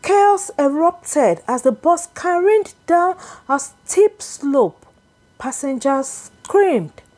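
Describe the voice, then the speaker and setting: Female folktale narrator: high register, animated intonation, unhurried dramatic read. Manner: slow, deliberate, expressive storytelling. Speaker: storyteller, narrator.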